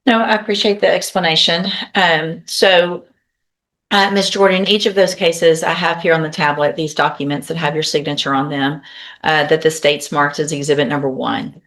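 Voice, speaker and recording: A woman judge with a low voice conducts a formal courtroom hearing. She works through charges and questions step by step, in a procedural manner. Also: fairly even intonation, moderate pace, a bit bright. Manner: procedural, methodical.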